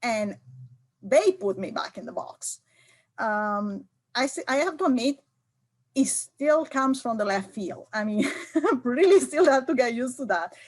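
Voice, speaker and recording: A woman's voice: on the high side, animated, a little slow. Hesitant middle-aged woman IT leader. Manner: hesitant, many fillers and restarts. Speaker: IT leader guest. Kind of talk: remote interview conversation.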